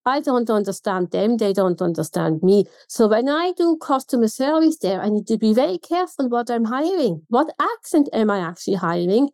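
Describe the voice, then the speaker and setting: A woman's voice: medium pitch, darkish tone, no room sound. A woman from Switzerland with a Swiss German accent, a consultant guest on a podcast interview, talking emphatically and throwing out pointed rhetorical questions.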